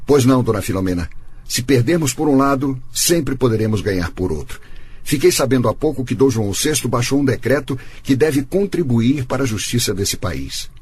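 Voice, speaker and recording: An actor playing a learned, middle-aged gentleman of the law in a radio soap, formal and measured, with a Brazilian accent. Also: medium pitch, expressive, a little hiss or hum, natural voice. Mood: earnest. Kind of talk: radio soap opera acting.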